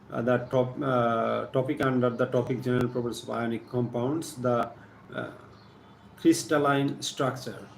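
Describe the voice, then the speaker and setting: A man with a Bangladeshi accent giving a live chemistry lesson, partly reading aloud in a halting, deliberate manner, repeating phrases. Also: medium pitch. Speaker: science teacher hosting a live stream. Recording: echoey room with faint background noise.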